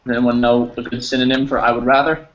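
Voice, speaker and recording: A man teaching an English class over a video call, patient and encouraging, explaining slowly with examples. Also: mid-range voice, little pitch movement, a bit bright. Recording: slightly dull audio with faint noise.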